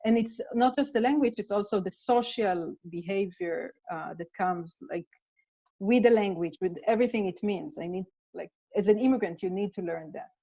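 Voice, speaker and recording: Israeli woman playwright, second-language English, warm low-key tone, muffled video call audio. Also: moderate pace. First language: Hebrew. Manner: thoughtful, restarts phrases, searching for words. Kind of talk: casual conversation over video call.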